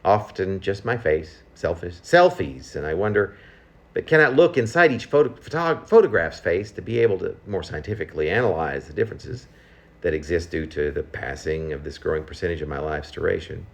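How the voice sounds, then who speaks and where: A man's voice: sits low, lively intonation, brisk, creaky. A man reading his own confessional poem aloud in a conversational, reflective and self-questioning manner. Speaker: poet reading own work.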